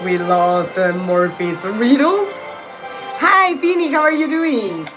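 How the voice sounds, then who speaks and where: A woman's voice: medium pitch, expressive, takes it slowly. Chatty woman game streamer. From Mexico. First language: Spanish. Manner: chatty, greets viewers by name. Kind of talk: casual live game-stream chat. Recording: game music loud behind.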